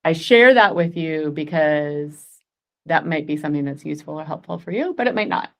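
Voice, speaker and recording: A woman's voice: low-pitched, lively intonation, brisk. A woman in her forties leading a goal-setting workshop, chatty and upbeat, laughing often. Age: 40s. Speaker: workshop facilitator.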